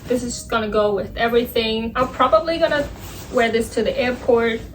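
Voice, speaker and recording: A Vietnamese woman in her twenties, chatting excitedly and poking fun at herself while packing at home, filmed on a phone. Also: a little high, a little fast, slight background noise. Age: 20s. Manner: chatty, excitable and self-mocking. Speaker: vlogger. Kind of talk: casual vlog chat.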